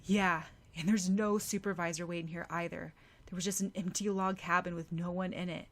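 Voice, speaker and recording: A woman voicing a calm, matter-of-fact teenage boy in an audiobook story. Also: fairly low pitch, normal speed, close to an everyday voice. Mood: explaining.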